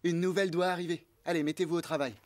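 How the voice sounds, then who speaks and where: A man's voice: fairly high pitch, fairly even intonation, rapid-fire, darkish tone, close to an everyday voice. A man voicing a friendly, responsible teenage ranch boy in a children's cartoon. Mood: firm. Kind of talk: animated children's series dub.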